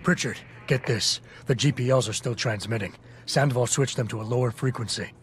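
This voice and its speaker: Casting: man playing hard-edged game protagonist, mid-pitched, terse and stern, confrontational, music under the lines. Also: fairly quick, close to an everyday voice. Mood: urgent. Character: tough, blunt male game hero, stern and unyielding. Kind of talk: dramatic video game voice acting.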